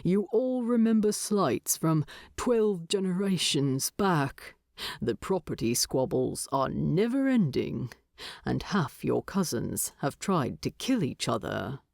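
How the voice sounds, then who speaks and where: A woman voicing a gruff, terse male dwarf in an audiobook, speaking seriously and with deliberation. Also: deep voice, very animated, slow, darkish tone, no room sound, clean studio sound, voice pushed down, exaggerated voice. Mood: wry, weary. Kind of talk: audiobook character voice.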